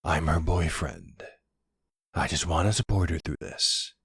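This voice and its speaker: A very low, husky male voice acted by a man plays an affectionate boyfriend in an audio roleplay. He talks slowly and hesitantly, with nervous tenderness and a teasing edge. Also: a bit bright, no echo, studio quality, exaggerated voice. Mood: hesitant, uneasy. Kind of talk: intimate audio roleplay acting.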